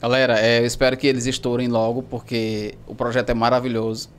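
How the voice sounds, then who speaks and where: A man's voice: medium pitch, level tone, quick pace, clean tone. A man on a podcast team, chatting casually and joking about his own mistakes. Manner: self-mocking, playful. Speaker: podcast team member, editor.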